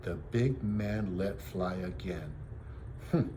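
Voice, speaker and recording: A man with a fairly low voice narrates a children's story like a teacher reading to a class. The delivery is expressive, and there is slight noise in the recording. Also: a little slow. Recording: noisy home recording.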